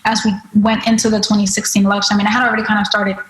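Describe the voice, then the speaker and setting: A woman from the Dominican Republic, a guest author telling personal stories in an interview, animated and lively. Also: medium pitch, racing, reverberant, rough recording. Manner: animated, lively anecdotes with quoted asides. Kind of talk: conversational interview storytelling.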